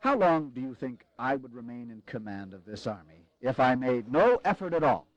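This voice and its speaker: A man playing a pragmatic, weary army commander in a historical audio drama, speaking in a measured, grave and formal way with wry resolve. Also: mid-range voice, big pitch swings, no echo, lo-fi sound, close to an everyday voice. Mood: resigned, explaining.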